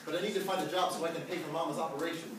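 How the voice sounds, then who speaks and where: A man playing an eager high-voiced naive mama's boy. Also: fairly even intonation, rapid-fire, a crowd in the background, close to an everyday voice. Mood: eager, earnest. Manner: awkward, rambling, eager to please. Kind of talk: live comic radio play acting. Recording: roomy, distant live venue recording, loud piano.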